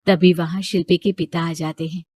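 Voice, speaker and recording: कहानी सुनाती महिला, सूखी रिकॉर्डिंग. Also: female voice, on the low side, flat delivery, moderate pace, darkish tone, breathy edge, dry recording, studio quality. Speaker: narrator. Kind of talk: scripted story narration.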